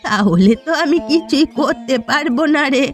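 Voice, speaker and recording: A sorrowful old village fisherwoman, acted by a woman with a rather high voice and background music. She is poor and weary, and talks in a plaintive, folksy, resigned way, as in a cartoon tale. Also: lively intonation, moderate pace. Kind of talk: Bengali cartoon story dialogue.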